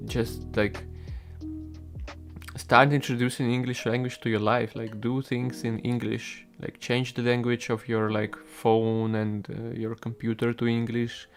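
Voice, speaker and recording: Slow, laid-back Russian man chatting. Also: mid-range voice. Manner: laid-back, rambling, swears casually. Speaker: solo game developer streaming. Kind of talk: casual chat with stream viewers while coding.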